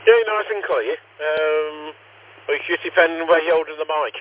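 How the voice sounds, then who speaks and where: A man with a deep voice, chatting casually on an amateur radio link from a parked car and repeating his call sign often. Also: normal speed. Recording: tinny radio transmission.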